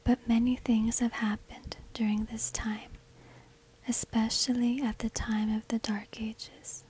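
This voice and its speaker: A woman whispers a scripted story for ASMR, extremely breathy and without voicing. Her delivery is slow and deliberate, with very little melodic movement, as she narrates. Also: a little high, dark tone, rough recording. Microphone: close binaural microphone.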